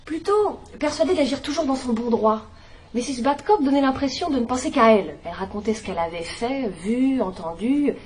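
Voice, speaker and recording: An actress playing a fussy, patronizing live-in companion in a dubbed drama, with a gossipy, condescending manner. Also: female voice, sits high, expressive, fairly quick, darkish tone. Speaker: companion and housekeeper.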